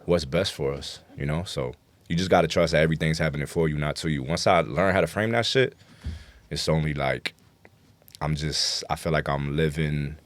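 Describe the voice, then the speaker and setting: A man with a very low voice and a New York accent, recorded dry and close. He talks like a rapper being interviewed, loose and slangy, with plenty of casual swearing. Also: normal speed, dry recording. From New York City. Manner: slangy, profanity-laced, frequent "you know". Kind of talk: casual podcast interview talk.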